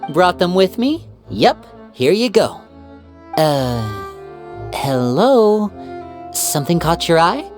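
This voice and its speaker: An actor playing a polite, composed young man with a courteous, gently chiding manner in an anime game. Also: high voice, big pitch swings, slow pace, long pauses, faint music behind, heavily acted. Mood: lightly teasing. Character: polite, composed young man, gracious elder-brother figure.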